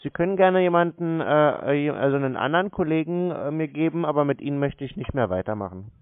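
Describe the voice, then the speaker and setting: A man's voice: on the high side, expressive, fast, no echo. A man speaking casually and bluntly in a phone conversation, sounding unimpressed. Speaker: show host taking scam calls. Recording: band-limited, phone-like audio.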